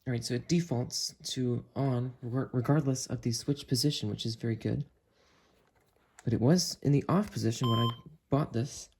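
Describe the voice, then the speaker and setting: A medium-pitched host presents a gadget in a casual, chatty way, talking while handling it. The home recording is indoors, with some noise and clicks behind the voice. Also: normal speed, darkish tone. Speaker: host of a gadget review video. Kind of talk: casual hands-on product review.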